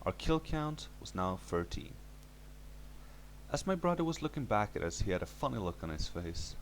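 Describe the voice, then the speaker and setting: A man's voice: on the low side, big pitch swings, normal speed, slight background noise, rough recording. A man reading a scripted first-person horror story as its narrator.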